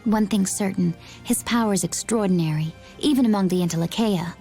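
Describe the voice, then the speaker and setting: A woman playing a composed, enigmatic young warrior in an anime-style video game, with measured delivery. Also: medium pitch, warm tone, faint music behind, natural voice. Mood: calm, matter-of-fact.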